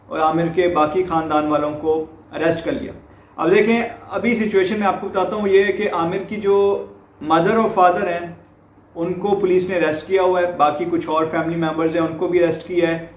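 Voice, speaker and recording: A high-pitched male voice with a Pakistani accent, recorded in an echoey room. He presents news commentary in an explanatory way, restating key points for emphasis. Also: slight background noise. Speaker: host presenting a news report.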